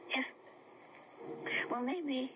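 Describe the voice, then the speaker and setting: A woman's voice: high-pitched, very slow. An actress playing an anxious, earnest older landlady in an old-time radio drama, speaking in a hesitant, fretful way. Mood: fretful, searching. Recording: dull, noisy old radio recording.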